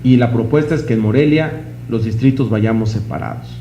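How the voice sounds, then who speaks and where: Man, Mexican accent, political press statement, medium pitch, dull recording, faint noise. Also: brisk, warm tone, reverberant. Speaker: political party leader.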